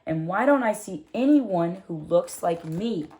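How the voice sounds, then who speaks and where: A woman reading a children's storybook in the first person as a curious, proud six-year-old girl, expressive and full of wonder. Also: lowish voice, a little slow. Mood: puzzled. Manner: expressive, wondering, asks rhetorical questions.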